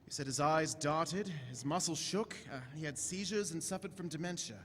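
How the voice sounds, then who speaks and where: A man playing an eccentric, inquisitive time-traveling doctor in a staged audio drama, chatty and brisk with theatrical swings. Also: on the high side, a bit bright, close to an everyday voice. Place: large convention hall stage. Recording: echoey hall stage recording with noise.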